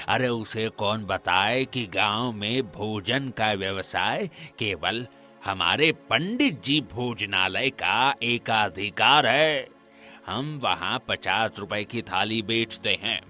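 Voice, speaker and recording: A man's voice: lowish voice, pushed and bright, full character voice. A man playing a greedy, condescending village priest and moneylender in a cartoon, with a pompous manner. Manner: pompous, condescending, tags questions onto sentences. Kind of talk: acted Hindi cartoon dialogue.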